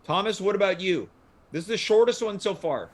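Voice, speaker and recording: A man's voice: high voice, expressive, a little fast. A man hosting a casual livestream chat show, steering the conversation. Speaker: show host.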